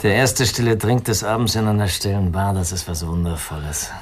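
Low-voiced, weary, self-pitying drinker played by a man. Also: fairly even intonation, brisk, a little hiss or hum, natural voice. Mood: musing. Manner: musing, cynical, self-mocking. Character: weary, self-pitying male drifter and drinker, arrogant, fatalistic. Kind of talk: radio crime drama dialogue.

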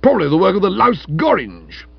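A man's voice: huge pitch swings, moderate pace, husky, no room sound, muffled recording, full character voice. A man voicing a pompous, jealous young man with a resonant, stiff and indignant delivery in a comic audiobook. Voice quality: deep, resonant. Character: pompous, jealous, blustering young man, deep booming suitor. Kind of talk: comic audiobook character acting.

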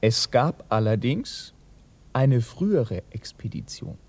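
Radio crime play: diligent, anxious detective's assistant, precise and educated, husky mid-pitched male voice. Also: very animated, slow pace, a little mellow, heavily acted. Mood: earnest, informative. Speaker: actor in a radio crime drama. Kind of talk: German radio play acting.

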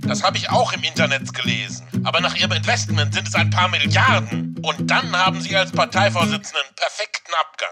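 Actor as blunt, high-pitched old politician. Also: male voice, a little fast, hardly any pauses, loud music behind the voice, natural voice. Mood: smug, scheming. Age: older. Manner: colloquial, blunt. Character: scheming old failed politician, gruff and blunt. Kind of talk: satirical radio play dialogue.

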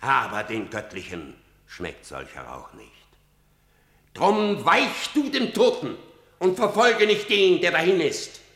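An old, defiant seer of radio tragedy, declaims verse slowly and gravely. His delivery swells and drops widely as he probes and warns. The space around him sounds roomy. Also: fairly high pitch, very animated, a bit bright, exaggerated voice. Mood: solemn warning. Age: older. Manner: declamatory, deliberate, swelling and dropping. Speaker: actor. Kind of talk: theatrical radio drama in verse. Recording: roomy, reverberant radio play recording.

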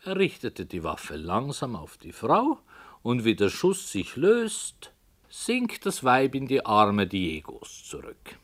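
Solemn, very measured author-storyteller. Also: male voice, mid-range voice, huge pitch swings, a bit bright, exaggerated voice. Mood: solemn, hushed. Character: grave male author narrating his dark tale. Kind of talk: radio drama narration.

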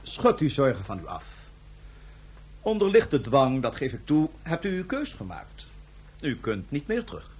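A man's voice: mid-range voice, wildly swooping pitch, a little slow, a little mellow, breathy edge, full character voice. An actor playing a suave, mocking imperial ruler in a Dutch science fiction radio drama, theatrical and condescending in his ornate phrasing. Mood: smug, commanding.

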